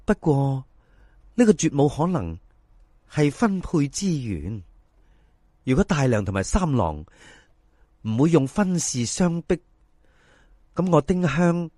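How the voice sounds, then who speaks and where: Folk-tale heroine acted by a male narrator: young Cantonese woman, earnest, polite, resolute, expressive melody, dry studio-like sound. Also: a little high, dry recording, exaggerated voice. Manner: polite, measured, pleading sincerity. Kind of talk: dramatised folk storytelling.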